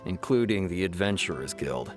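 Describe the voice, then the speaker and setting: A man's voice: sits low, animated, normal speed, loud music behind the voice. A man playing a self-assured, matter-of-fact law officer in scripted video game dialogue. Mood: matter-of-fact, confident.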